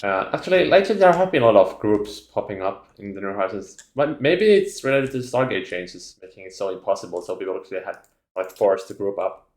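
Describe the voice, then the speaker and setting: A man's voice: fairly low pitch, expressive, a little fast, echoey room. A man from Finland, joking and laughing in casual gaming chat.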